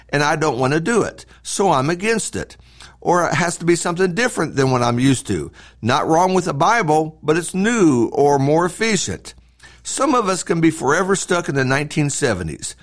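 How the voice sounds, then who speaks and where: A middle-aged man reads a devotional message as a preacher would. His voice sits a little high and swings widely in pitch. The phrasing is earnest and emphatic, with key words leaned on. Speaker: preacher hosting a devotional podcast. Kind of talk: scripted devotional talk, read aloud.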